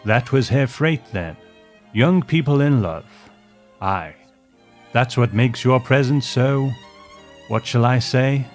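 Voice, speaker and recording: A man's voice: mid-range voice, slow pace, long pauses, soft background music, pitched above the performer's own voice, natural voice. A man voicing a hearty, opinionated old man who rambles fondly with old-fashioned exclamations, in a storybook reading. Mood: proud, wistful. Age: older. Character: hearty opinionated old man reminiscing about his beloved ship.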